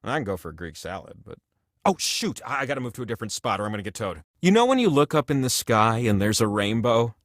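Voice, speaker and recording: A man's voice: mid-range voice, very animated, fast talker, a bit bright, no room sound, clean studio sound. A man voicing a giant, ancient talking wheel in a video game, a jovial, grandiose and needy godlike host with a chatty, theatrical delivery. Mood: quiet, confiding. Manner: chatty, theatrical, swings between gushing and mumbled asides. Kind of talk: comic video game character monologue.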